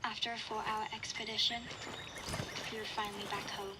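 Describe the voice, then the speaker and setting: A young girl survivor played by a woman records her diary in a game audio log. Her delivery is even and restrained, measured and reflective, with a wary matter-of-fact tone. Clear ambient noise sits behind the voice. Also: mid-range voice, lightly acted. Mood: somber, reflective. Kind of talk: first-person audio log narration.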